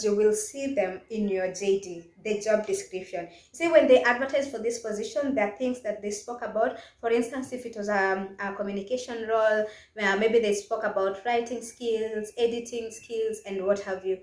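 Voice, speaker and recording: Kenyan woman vlogger in an echoey room. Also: a little high. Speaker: career advice video host. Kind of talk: casual instructional vlog talk. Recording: echoey, roomy sound.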